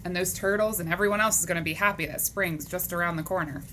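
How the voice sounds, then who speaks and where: A woman's voice: on the low side, fast talker, bright, forceful tone. A woman reading a children's book aloud, speaking to young listeners in a question-and-answer manner, on a noisy recording.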